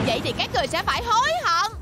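A woman voicing a spunky, hot-tempered little schoolgirl in a dubbed children's cartoon, with bold theatrical delivery. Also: very high-pitched. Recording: loud music under the voice.

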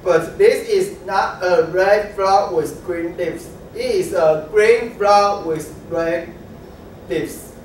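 A young man playing an eager little frog child, reading his lines slowly in a readers' theatre. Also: high-pitched, a little hiss or hum. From Taiwan. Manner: slow, deliberate reading from a script. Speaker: student reader. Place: large classroom, standing in a row. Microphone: far camera. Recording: very echoey classroom from far off.

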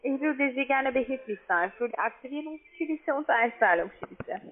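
A woman's voice: sits high, animated, normal speed, a little hiss or hum. A woman speaking politely as a non-native speaker, working as a call-center account manager. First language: not German. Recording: tinny narrow phone line.

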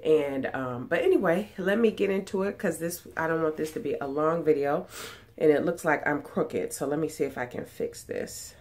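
A woman's voice: low voice, moderate pace, a little mellow. A woman in her forties, congested and nasal from a cold, chatting casually about her sewing projects with lots of ums and restarts, recorded on a webcam at home. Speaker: sewing vlogger. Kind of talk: casual chat. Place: small room at home, indoors. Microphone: webcam at desk distance.

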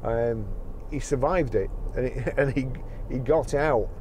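A man's voice: medium pitch, unhurried, a little mellow, a little hiss or hum. A middle-aged man, a car dealer, chatting casually while driving, rambling with many restarts. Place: inside a car on the road. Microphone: car-mounted camera.

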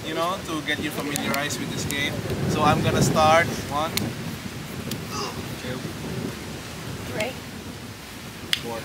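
A man working as a tour guide, explaining a beach game to a group in a measured, instructive way. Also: a little high, low-quality recording.

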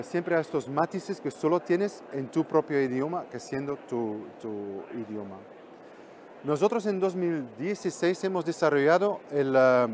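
A middle-aged man from Belgium speaks, his voice sitting a little high for a man. He gives an animated conference talk and hammers home points by repeating them. A faint hum of noise sits under the recording, picked up by a camera at a distance. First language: Flemish. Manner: animated, gestures constantly with both hands, repeats points for emphasis. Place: exhibition stand in a hall.

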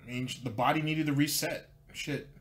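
A man's voice: fairly high pitch, fairly even intonation, normal speed. A man hosting commentary, answering clips with dry, sarcastic asides. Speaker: commentary host.

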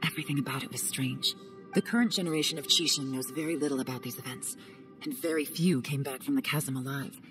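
Low-voiced cool spy woman, a woman voicing her, calm and measured, game music behind. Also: lightly acted. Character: cool, secretive young woman agent. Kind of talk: game cutscene voice acting.

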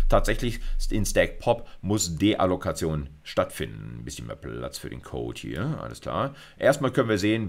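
A middle-aged man lecturing at university level in an explanatory screencast, informal and emphatic, with frequent check-in words. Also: deep voice. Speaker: university computer science lecturer. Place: indoors, recorded screencast.

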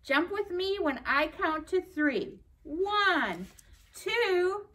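A woman's voice: very high voice, lively intonation, very slow, bright, forceful tone, full character voice. A woman voicing a cheerful, bossy young pig for a children's storytime, giving emphatic instructions, recorded from across a room. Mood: eager, bossy. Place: indoor library meeting room. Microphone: camera several feet away. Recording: distant camera, faint room noise.

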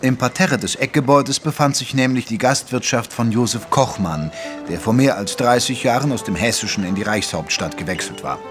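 A man narrating a period mystery audio drama in a measured, literary manner. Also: medium pitch, fairly bright tone, natural voice. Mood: measured narration. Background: music under the voice.

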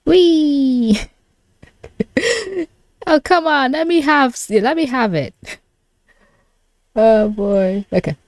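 A young adult woman doing casual live reaction commentary as a streamer, expressive and given to exclamations and swearing. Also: high voice, takes it slowly, long pauses, no echo.

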